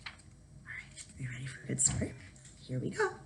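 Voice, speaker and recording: Female teacher for a children's read-aloud, animated and emphatic, with a slightly gravelly creak. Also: very deep voice, moderate pace, slight background noise. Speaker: first grade teacher reading aloud.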